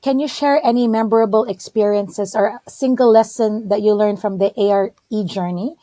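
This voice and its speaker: Female host asking questions, mellow tone, dry close sound. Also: mid-range voice, steady tone, moderate pace, dry recording. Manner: encouraging, asks questions. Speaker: podcast host. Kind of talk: interview questions.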